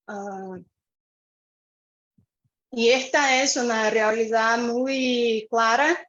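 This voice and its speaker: A woman from Brazil with a Brazilian Portuguese accent speaking on a video call, unhurried and searching for words with many pauses. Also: a little high, steady tone, a bit bright, muffled recording. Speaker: community member sharing. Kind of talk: online meeting talk. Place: home room with a fan.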